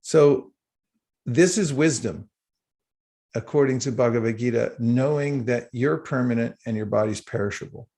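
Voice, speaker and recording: A man giving a livestreamed spiritual talk with slides, unhurried and halting, with small chuckles. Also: medium pitch, mellow. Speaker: spiritual teacher.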